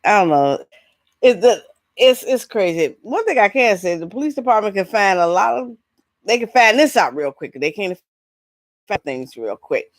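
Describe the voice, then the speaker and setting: Female entertainment vlog host, informal and rambling, medium pitch, very little room sound. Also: very animated, no echo, clean studio sound. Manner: rambling, halting, informal with mild swearing. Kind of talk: casual chat, entertainment gossip.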